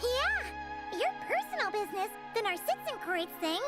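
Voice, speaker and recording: An actress voicing a tiny, cheerful, childlike fairy companion, eager and cute in an anime game style. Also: female voice, very high voice, big pitch swings, normal speed. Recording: loud game music underneath.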